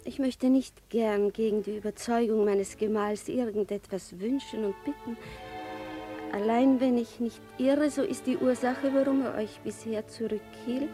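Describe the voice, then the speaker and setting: An actress playing a gracious, composed princess, speaking in a measured, courtly way in a German radio drama. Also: female voice, a little high, fairly even intonation, close to an everyday voice. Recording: prominent music under the voice. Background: music.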